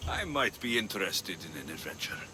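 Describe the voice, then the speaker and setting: A man's voice: deep voice, big pitch swings, brisk, bright, forceful tone, a little hiss or hum, heavily acted. A man playing a wry, hard-bargaining mercenary in a video game, with a Greek accent and a dry, sardonic manner. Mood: curious, wry. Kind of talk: video game cutscene acting.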